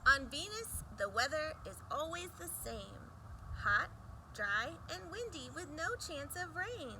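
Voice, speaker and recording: A woman reading a rhyming picture book aloud for children in a sing-song, emphatic way with deliberate pauses. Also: sits high, sing-song, sharp tone, slight background noise, poor audio.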